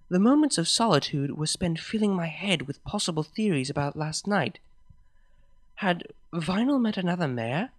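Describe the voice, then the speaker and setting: A man reading a first-person fan story aloud in a steady, even way. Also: high voice. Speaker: story reader.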